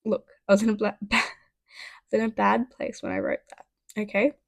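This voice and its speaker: A defensive science teacher from a storybook, a man read by a woman. The delivery is conversational and indignant, with wry edges. The voice is creaky and closely recorded without room sound. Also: medium pitch, very animated, normal speed, no echo, studio quality.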